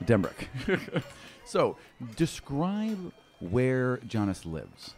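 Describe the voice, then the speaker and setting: Man, game master, animated intonation, informal improvised narration, faint music behind. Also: mid-range voice, drawn-out words. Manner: informal, conversational.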